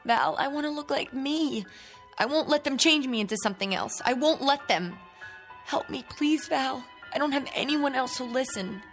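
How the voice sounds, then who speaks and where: A woman's voice: high voice, animated, normal speed, music in the background. An actress playing a troubled, stubborn eighteen-year-old young woman in a radio drama. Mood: pleading, defiant.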